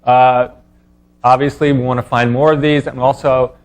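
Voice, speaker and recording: A man presenting research as a scientist at an academic talk, explaining informally in a halting, hesitant way. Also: medium pitch, level tone.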